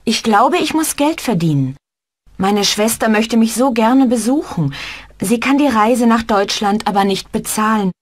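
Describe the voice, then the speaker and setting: A woman's voice: a little high, animated, a little slow, no room sound, clean studio sound. A woman voicing a young female student who is a native Japanese speaker, politely applying for a job in a scripted language-course dialogue. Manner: polite, careful and clearly articulated. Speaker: job applicant in a textbook dialogue.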